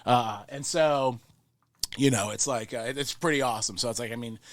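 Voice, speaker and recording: A man's voice: mid-range voice, fairly quick, no echo, clean studio sound. A man from New Orleans chatting casually as an interview guest, a recording engineer who rambles with enthusiasm and swears freely. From New Orleans, Louisiana.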